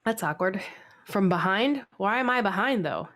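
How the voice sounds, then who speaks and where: A woman's voice: mid-range voice, animated, moderate pace, a bit bright. Chatty sniffly woman streamer. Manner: chatty, laughing, swears freely. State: sniffly from a head cold. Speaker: live stream host. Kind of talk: casual chat while drawing.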